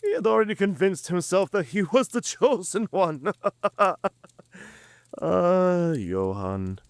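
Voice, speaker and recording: A man's voice: high voice, very animated, takes it slowly, no echo, heavily acted. A man playing a cunning, proud werewolf in an audio drama, with a theatrical, drawling and mocking delivery. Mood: mocking amusement. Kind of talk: cinematic audio drama acting.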